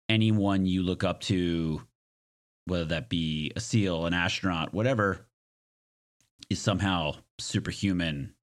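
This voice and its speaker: Deep, slightly hoarse, raspy male podcast host. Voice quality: raspy, smoky. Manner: self-deprecating, joking asides. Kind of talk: casual, reflective solo podcast monologue.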